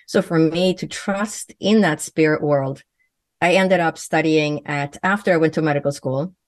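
A woman speaks in a low, deep register, earnest and fluent, though she often restarts her phrases. The sound is close and dry, with no room echo. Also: normal speed. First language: Swedish. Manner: earnest, fluent with frequent self-corrections. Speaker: guest, medium and physician. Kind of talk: conversational interview answers.

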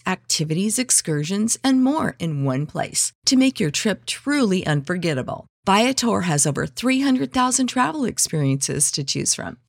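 A woman's voice: mid-range voice, gravelly, no echo. A woman reading scripted modern advertisements as a voice-over on a close studio mic.

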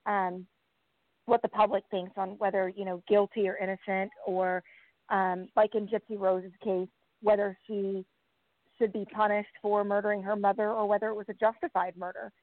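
A woman's voice: medium pitch, level tone, moderate pace, no echo. A woman podcaster answering interview questions in casual, conversational speech. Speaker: podcast host, interview guest. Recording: dry, narrow phone-line audio.

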